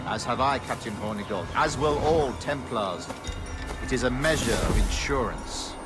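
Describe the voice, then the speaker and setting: An actor playing a polished, cold English colonial governor, formal, measured and smug, in a video game cutscene. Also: male voice, medium pitch, animated, lightly acted. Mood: assured, formal. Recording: loud music behind the voice.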